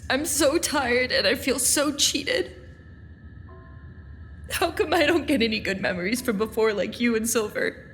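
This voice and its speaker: An actress playing a bitter, despairing young woman in an audio drama, speaking in a plaintive, halting way. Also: on the high side, a bit bright, slight background noise. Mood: bitter, weary. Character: young woman, despairing, bitter, feels cheated by a dying world.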